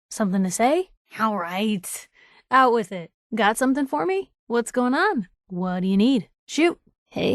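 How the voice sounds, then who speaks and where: A woman voicing a sharp-witted, idealistic young female reporter companion in a video game, wry and conversational. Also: medium pitch, dry recording, clean studio sound, close to an everyday voice. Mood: casual.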